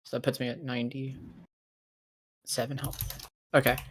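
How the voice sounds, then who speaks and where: An unhurried, mid-pitched voice at a casual roleplay session. The player narrates fight moves with swagger, peppering them with slang and swearing. The talk is loose and conversational. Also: flat delivery, a little hiss or hum.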